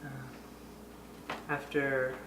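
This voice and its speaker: Man, reflective personal talk, pausing often, distant mic, echoey. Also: medium pitch, completely flat delivery, background noise. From Oregon and Costa Rica. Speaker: artist and educator.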